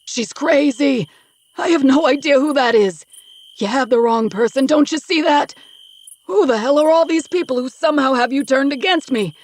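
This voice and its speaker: A woman voicing a panicked older captive father who shouts and pleads, denying everything. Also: very high voice, extremely slow, few pauses, pitched above the performer's own voice, full character voice. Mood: frantic denial. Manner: desperate, shouting, protesting.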